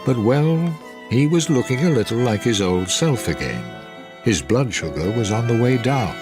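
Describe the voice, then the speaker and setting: A man's voice: medium pitch, sing-song, normal speed. A man narrating a radio drama in a scripted storytelling style. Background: music with violin.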